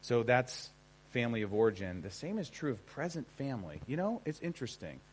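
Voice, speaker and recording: A man's voice: medium pitch, sing-song, fairly quick, husky, low-quality recording. Conversational American man teaching a seminar. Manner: conversational, emphatic, often checks in with listeners. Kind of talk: informal seminar lecture to an audience.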